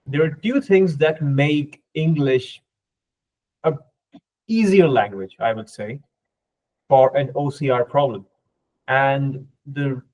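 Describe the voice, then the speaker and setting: Male guest expert, native Urdu speaker, mid-pitched, didactic informal explainer, dull band-limited audio. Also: a little mellow. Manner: didactic, often checks with "right?". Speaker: podcast guest, researcher.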